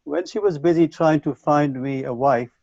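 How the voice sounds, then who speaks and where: Measured man lecturing over a dull call. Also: fairly high pitch, gravelly, darkish tone, no room sound. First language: Punjabi. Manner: measured, reflective, with frequent restarts. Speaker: conference speaker. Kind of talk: online lecture to teachers. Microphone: video call. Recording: dull lo-fi video call audio.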